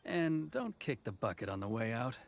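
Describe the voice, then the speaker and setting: An actor playing a serious, conflicted young officer in an anime game, with a formal, restrained manner. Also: male voice, medium pitch, big pitch swings, moderate pace, vocal fry, slight background noise. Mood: resigned, reflective. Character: earnest, conflicted young male commission officer. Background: background music.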